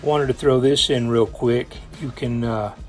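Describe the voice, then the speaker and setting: A man's voice: mid-range voice, fairly even intonation, unhurried. Plainspoken man demonstrating gear, music behind. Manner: plainspoken, explanatory, step-by-step. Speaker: product reviewer. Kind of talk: casual hands-on product demonstration.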